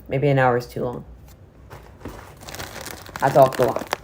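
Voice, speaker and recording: A hoarse teenage boy chatting casually at the end of a livestream, rambling and restarting his phrases. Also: medium pitch, level tone, a little slow, a little mellow, a little hiss or hum. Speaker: streamer.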